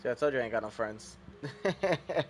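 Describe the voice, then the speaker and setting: A man's voice: mid-range voice, animated, moderate pace, a little hiss or hum. A man streaming a game, chatting casually to viewers in a laid-back, rambling way with casual swearing. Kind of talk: casual gameplay commentary.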